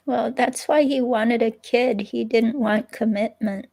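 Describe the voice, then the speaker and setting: A woman's voice: fairly high pitch, steady tone, unhurried, vocal fry, darkish tone. A woman reading the part of a hurt ex-girlfriend in a police interview, hesitant and rambling, in a conversational dramatic reading. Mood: resigned. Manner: hesitant, rambling, filler-laden.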